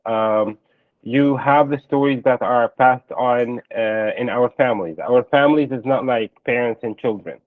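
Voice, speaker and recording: Palestinian guy being interviewed, explains earnestly w lots of ums, thin narrow call sound, no room echo. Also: mid-range voice. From Palestine or its diaspora. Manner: earnest and explanatory, hesitant with frequent filler pauses. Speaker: interview guest. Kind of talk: conversational live panel interview.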